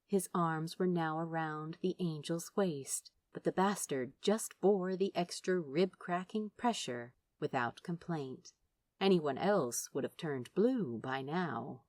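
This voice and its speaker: A woman narrates a story in an emotive, dramatic audiobook style. Her voice sits fairly low but swings widely in pitch, and she takes her time over the lines. The sound is close and dry. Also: no room sound. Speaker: fan fiction narrator. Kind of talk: expressive scripted audiobook narration.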